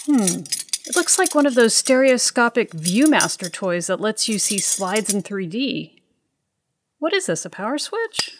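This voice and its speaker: A keen female investigator from a spy radio play, acted by a woman. She speaks in a medium-pitched voice and takes her classified work seriously. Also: very animated, measured pace, slight background noise. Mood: focused. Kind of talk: audio drama acting.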